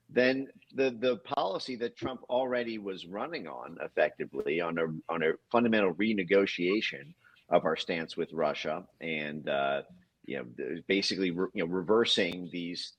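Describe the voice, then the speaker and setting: A man with a deep, husky voice talks politics as an interview guest. He goes on at length in a halting way, stammering over repeated words. The audio is dull and lo-fi. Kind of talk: political commentary in conversation.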